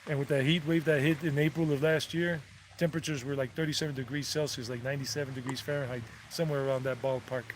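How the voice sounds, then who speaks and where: A man's voice: medium pitch, steady tone, fast, slight background noise. A man vlogging from outdoors on a mountain property, chatting loosely, drifting off topic and correcting himself as he goes. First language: English. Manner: loose and digressive, repeats figures, self-corrects. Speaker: travel vlogger. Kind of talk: rambling casual livestream chat.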